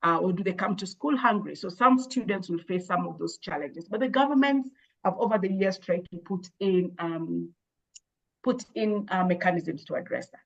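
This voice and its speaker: A woman, an education expert, answering interview questions in a measured, thoughtful way with frequent hesitations and restarts. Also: fairly low pitch, expressive, gravelly, highs cut off. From Kenya. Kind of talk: explaining in an interview.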